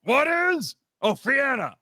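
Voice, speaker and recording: A man's voice: very high voice, sing-song, slow pace, sharp tone, dry recording, clean studio sound, exaggerated voice. A man voicing a brash, taunting monster villain who bosses people around like a mocking game show host. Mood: dismissive. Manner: mocking, bossy, insulting. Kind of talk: in-character game show hosting.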